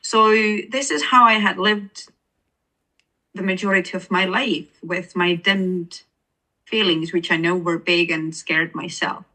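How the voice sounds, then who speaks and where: A woman's voice: on the low side, fairly even intonation, unhurried, bright, forceful tone, low-quality recording. A woman with an Estonian accent, an author speaking as an interview guest, earnest and searching for words with many restarts. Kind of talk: reflective interview conversation.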